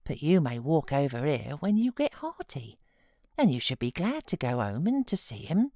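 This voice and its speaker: A British woman voicing a kindly housekeeper in an audiobook, gently coaxing and reassuring a child. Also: low voice, wildly swooping pitch, normal speed, dry recording, clean studio sound, exaggerated voice. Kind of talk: audiobook character voice.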